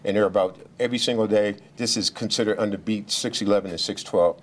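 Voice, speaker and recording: Deep-voiced police official at a briefing. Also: male voice, steady tone, slight background noise. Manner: halting, many fillers and restarts; speaks loudly without a mic. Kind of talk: press briefing remarks.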